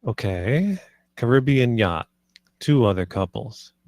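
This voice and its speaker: A man's voice: medium pitch, big pitch swings, takes it slowly, darkish tone, dry recording. Hesitant British husband, read by a man, very dry sound. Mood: cautious. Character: nervous, earnest husband proposing swinging to his wife. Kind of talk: film script table read, naturalistic dialogue.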